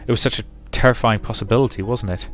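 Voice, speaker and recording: A man's voice: fairly low pitch, quick pace, soft background music. A man reading a visual novel aloud as narrator and host of a let's play.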